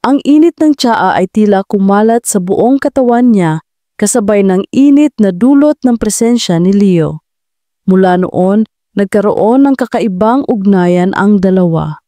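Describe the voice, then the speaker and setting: A woman narrating a scripted romantic audio drama with a steady storytelling delivery. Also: medium pitch, measured pace, dry recording.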